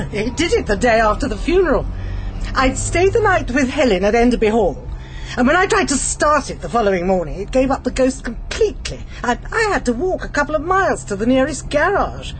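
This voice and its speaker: A woman's voice: a little high, low-quality recording. An English woman in a British radio drama playing a practical, exasperated middle-aged wife, plain-spoken and chatty. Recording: vehicle noise behind the voice.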